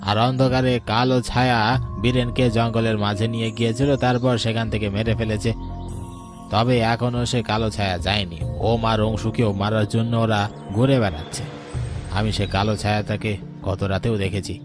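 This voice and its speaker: A man's voice: fairly low pitch, fairly even intonation, normal speed, over music, close to an everyday voice. A man playing a grave older village uncle who knows about spirits, speaking solemnly in a dramatic cartoon story. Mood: grave, warning.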